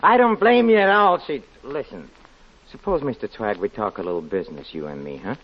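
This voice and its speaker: An actor playing a nervous, scornful murderer on the run, stammering and insinuating in 1940s radio drama style. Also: male voice, mid-range voice, animated, brisk, crowd noise, natural voice. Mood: wheedling. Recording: dull lo-fi 1940s radio recording.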